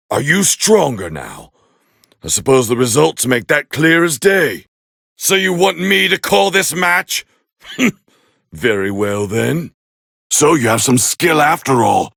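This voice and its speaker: A man playing a proud, hot-tempered alien warrior prince with a raspy voice, calling fights with scorn in an anime video game dub. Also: on the high side, no echo, studio quality, full character voice. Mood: scornful, appraising. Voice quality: gravelly, raspy. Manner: haughty, scornful, biting, forceful. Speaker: fight commentator character.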